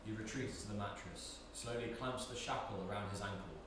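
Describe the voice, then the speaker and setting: A man's voice: fairly low pitch, fairly quick, distant mic, background noise. A man reading stage directions as scripted narration, recorded in an echoey room away from the mic.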